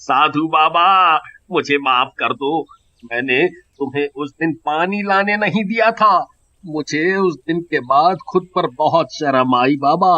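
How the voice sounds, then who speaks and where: A man's voice: a little high, takes it slowly, bright, forceful tone. A man playing a frightened, pleading village merchant in a cartoon moral story, dramatic in delivery. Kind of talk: animated Hindi moral story acting. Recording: dull lo-fi audio.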